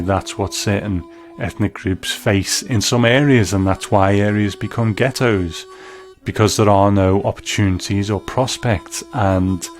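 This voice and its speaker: A male host talks through a reflective review in a low-pitched voice. Soft music plays faintly behind his commentary.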